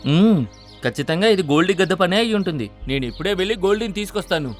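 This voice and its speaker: A man's voice: high-pitched, expressive, fast. A man voicing a pushy male eagle in a children's cartoon story. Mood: earnest. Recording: background music under the voice.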